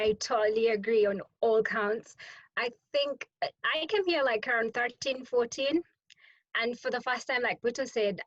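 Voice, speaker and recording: A woman's voice: fairly high pitch, fairly bright tone, no room sound, muffled recording. A woman in casual podcast conversation, speaking expressively and repeating phrases for emphasis.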